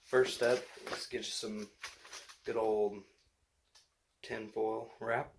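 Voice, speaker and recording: Laid-back young man with a low voice. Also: little pitch movement, slow pace, vocal fry. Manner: laid-back, rambling, many fillers. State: sick, voice a bit rough. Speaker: hunter making a skull mount. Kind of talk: casual DIY vlog. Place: indoors at home, bathroom. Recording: noisy home recording.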